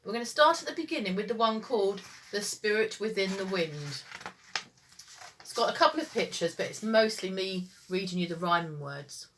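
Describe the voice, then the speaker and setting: A woman teacher reading children's stories aloud in an expressive, sing-song storytime style. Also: mid-range voice, normal speed, slight background noise.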